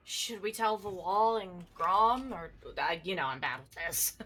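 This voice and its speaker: A woman voicing a hesitant, earnest male half-elf adventurer in a tabletop game, speaking haltingly. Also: mid-range voice, expressive, a bit bright, a little hiss or hum, pitched below the performer's own voice.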